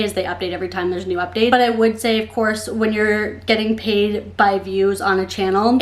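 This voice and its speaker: A young adult woman giving casual opinion commentary, with a nasal, slightly congested voice and frequent "like" fillers. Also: mid-range voice. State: getting sick, a little congested. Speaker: YouTube commentator.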